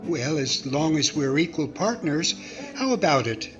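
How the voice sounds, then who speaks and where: Radio-comedy cowboy , man acting: earnest, self-assured, slightly high pitch, musical backing. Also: animated, measured pace, dull audio, music in the background. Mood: businesslike. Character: earnest, confident cowboy family man, map reader.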